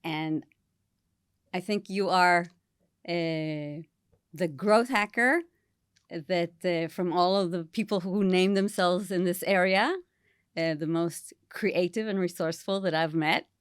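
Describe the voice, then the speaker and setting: A woman hosting an interview podcast, enthusiastic and pausing often with fillers. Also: lowish voice, clean tone, dry recording, clean studio sound. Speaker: podcast host.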